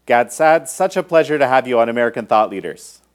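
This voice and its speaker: A man's voice: medium pitch, lively intonation, a little fast. A man hosting an interview podcast, asking rambling questions with many fillers. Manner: hesitant, filler-heavy questions. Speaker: podcast host. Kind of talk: interview hosting and read sponsor ad.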